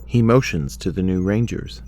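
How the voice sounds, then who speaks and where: A man's voice: deep voice, normal speed, darkish tone. A man reading scripted dramatic narration as the narrator of an audio drama.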